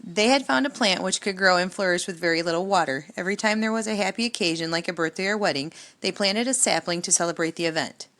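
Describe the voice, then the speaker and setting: A woman with a deep, low-pitched voice reads from a children's storybook. She delivers it as read-aloud narration. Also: fast, few pauses, a bit bright. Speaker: storybook reader.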